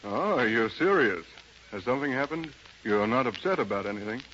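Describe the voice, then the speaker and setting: A man's voice: mid-range voice, expressive, moderate pace, fairly bright tone, natural voice. An actor playing a suave, worldly, sophisticated man about town in 1930s radio drama style. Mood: suave, teasing. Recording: dull, noisy 1930s radio recording.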